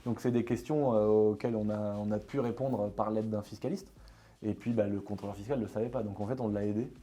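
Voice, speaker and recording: A man from France hosting a casual interview, talking in a quick, colloquial and jokey way with many fillers. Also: fairly low pitch, steady tone, mellow. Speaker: YouTuber host interviewing a guest.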